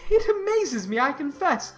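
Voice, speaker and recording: Woman playing a pompous, fawning clergyman, high-pitched. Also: very animated, normal speed, soft background music. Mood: offended, pompous. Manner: pompous, wordy, formal. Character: pompous, fawning young clergyman, self-important and obsequious. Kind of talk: dramatized audiobook reading of classic novel.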